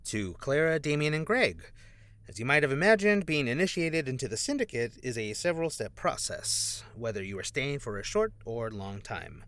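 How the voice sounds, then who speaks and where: An actor playing an anxious young undercover agent, a former street punk, in an audio drama with an edgy delivery. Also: male voice, medium pitch, big pitch swings, normal speed, fairly bright tone, no echo. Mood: reading aloud, wary.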